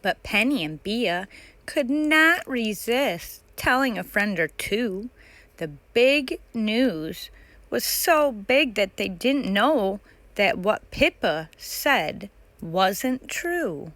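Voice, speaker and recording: A woman's voice: mid-range voice, very animated, very slow, a bit bright, no echo. A woman narrating a children's picture book aloud as a storytime reader.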